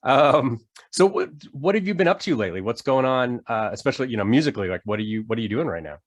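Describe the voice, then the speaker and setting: A man's voice: medium pitch, lively intonation, fast, no echo, muffled recording. A man hosting a video-call interview, upbeat and friendly, stuffed up from a cold. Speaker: podcast host. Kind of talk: casual interview chat.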